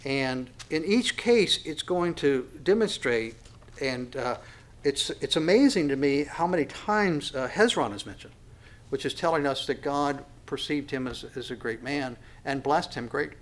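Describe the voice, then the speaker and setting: A man's voice: mid-range voice, animated. Older man teaching the Bible, folksy. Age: 60s. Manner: folksy, self-deprecating, halting with many restarts and fillers. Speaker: pastor.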